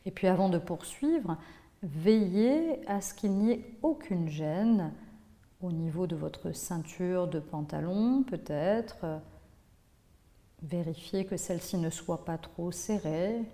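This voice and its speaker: A woman teaching yoga nidra, guiding a relaxation in a measured, instructive way with pauses between phrases. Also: on the low side, mellow. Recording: long hall-like reverb on voice.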